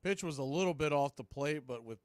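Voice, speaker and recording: A man calling live baseball play-by-play on the radio, in a brisk, conversational way that tracks every pitch and count. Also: expressive, no room sound, studio quality.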